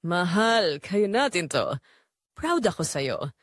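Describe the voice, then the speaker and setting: A woman reads as a loving, faithful Filipino husband in a radio-style drama. He speaks tenderly and earnestly, with lively intonation and a dry, close sound. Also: lowish voice, slow, no room sound, heavily acted. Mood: proud, loving. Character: kind, loyal, loving Filipino husband writing home messages.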